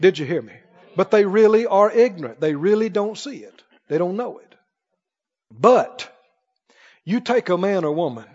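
Male preacher, high-pitched voice, emphatic Bible teacher firing short questions at the congregation; long church reverb. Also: darkish tone. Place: large church hall, before a congregation. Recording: muffled, echoing large hall.

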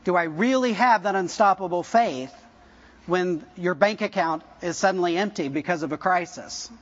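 A man's voice: high-pitched, normal speed, dull audio. A man in his fifties preaching a sermon to his church, talking casually, joking and asking the congregation questions. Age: 50s. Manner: conversational, jokey, asks the congregation questions. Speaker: pastor.

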